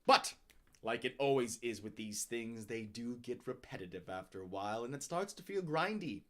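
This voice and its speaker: A man's voice: medium pitch, animated, moderate pace, fairly bright tone. A man giving a dramatic, grandiose reading of scripted text, with emphatic swells and drops in his delivery. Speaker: narrator reading out a user review.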